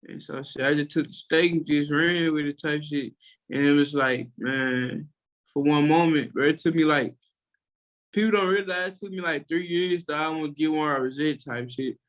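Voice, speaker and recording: A man's voice: a little high, normal speed, a bit bright, highs cut off. A young man with a Memphis-area Southern accent, a music producer talking casually and slangily about his start, rambling with lots of fillers. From Tennessee, USA. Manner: laid-back, slangy, rambling with many fillers. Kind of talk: casual interview chat.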